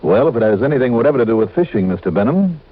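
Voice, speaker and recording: An actor playing a wry, tough insurance investigator in a 1960s radio drama, assertive and hard-boiled. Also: male voice, medium pitch, sing-song, very fast. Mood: curious. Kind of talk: 1960s radio drama acting and first-person narration. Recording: muffled old radio recording with music.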